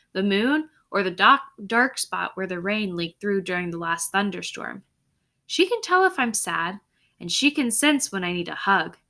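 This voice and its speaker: A woman's voice: medium pitch, sharp tone. A woman teacher reading a story aloud expressively to her class, with instructive asides that check listeners are following. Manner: instructive, checks understanding with tag questions.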